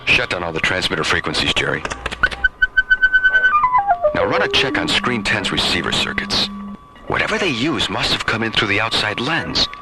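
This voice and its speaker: An actor in a radio drama playing a hot-headed, protective spaceship captain with an urgent, commanding manner. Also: male voice, on the low side, big pitch swings, normal speed, long pauses, low-quality recording. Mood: grave. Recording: loud music and effects behind.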